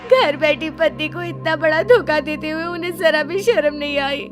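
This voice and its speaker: Woman voicing a dramatic, high-pitched young daughter-in-law. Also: slow, soft background music. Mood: sobbing, betrayed. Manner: taunting, dramatic delivery. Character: sharp-tongued, defiant young daughter-in-law, emotional and suspicious.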